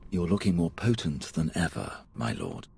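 An actor playing a suave, deferential male imperial officer in a video game, with a husky voice and formal manner. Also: very animated, moderate pace, darkish tone, slight background noise, full character voice. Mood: deferential, composed. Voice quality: husky, low. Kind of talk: video game cutscene acting.

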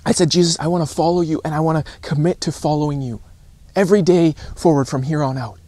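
A man in his thirties preaches informally to camera in a voice a little higher than average. He is earnest and deliberate, pausing often and repeating phrases for emphasis. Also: fairly even intonation, mellow, dry recording. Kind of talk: informal recorded sermon to camera. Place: outdoors on a rocky shore by calm water.

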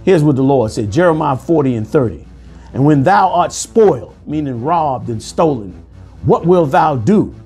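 A forceful, sermonizing man in his forties delivers commentary from a room on a lapel mic. His voice sits a little high for a man and keeps pressing its points. Soft music plays faintly underneath. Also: animated, moderate pace, husky. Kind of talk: preaching commentary over news clips. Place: indoor room.

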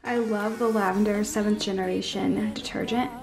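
Chatty upbeat woman over soft music. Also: medium pitch, steady tone, normal speed, rough recording. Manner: chatty, upbeat, talks viewers through each step. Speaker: home vlogger. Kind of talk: casual cleaning voice-over.

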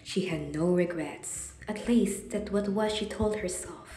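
A woman acting as the calm narrator of a scripted radio drama. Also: medium pitch, a little slow, close to an everyday voice. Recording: echoey recording.

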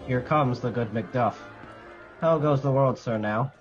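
A man's voice: medium pitch, unhurried, dull audio, soft background music, close to an everyday voice. A man acting as a worried nobleman in an amateur radio drama.

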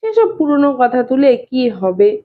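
A woman's voice: high-pitched, sing-song, normal speed. A woman reading a storybook as a shy, stubborn young bachelor, plain-spoken and evasive in colloquial speech. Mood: guarded. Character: shy, stubborn young bachelor brother-in-law, reluctant to marry. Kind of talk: dramatized audiobook reading. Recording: thin phone-like narrow-band sound.

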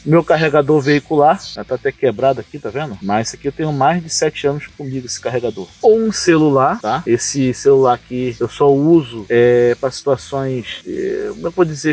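A man's voice: mid-range voice, a little mellow, breathy edge. A middle-aged man from Brazil casually showing and explaining the items of his everyday carry kit outdoors, often checking in with the viewers. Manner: explains each item, often adds "tá, gente?". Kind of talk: casual show-and-tell. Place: outdoors at a table in a yard.

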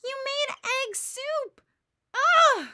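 A woman's voice: very high-pitched, animated, very slow, sharp tone, no room sound, studio quality, voice pushed up, heavily acted. A woman voicing an exasperated, dramatic tomboy schoolgirl in a storybook, emphatic and plaintive.

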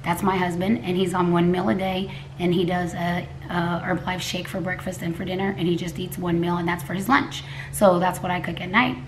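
A woman in her forties from Texas, hoarse, chatting casually to camera at home, rambling with restarts and coughs. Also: fairly low pitch, level tone, brisk, noisy background. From Texas, USA. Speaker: cooking vlogger. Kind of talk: casual vlog talk to camera. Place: living room at home, indoors. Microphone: camera a few feet away at a table.

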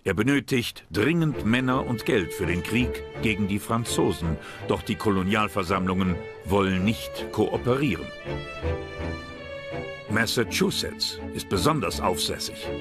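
A man's voice: on the low side, lively intonation, unhurried. A man reading scripted narration for a history documentary. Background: background music.

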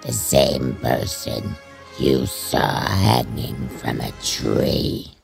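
A man voicing a menacing, taunting inner presence in an audio drama, accusing and relentless, with a gravelly voice. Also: expressive, very slow, soft background music, exaggerated voice. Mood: ominous. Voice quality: very deep gravelly voice. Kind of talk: fan audio drama acting.

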